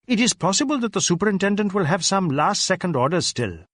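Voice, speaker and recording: A man's voice: high voice, very animated, fast, fairly bright tone, no echo, studio quality, pitched above the performer's own voice, exaggerated voice. A man playing a deferential, hesitant police inspector in an audio crime drama. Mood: politely firm. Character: meek, deferential male Indian police inspector.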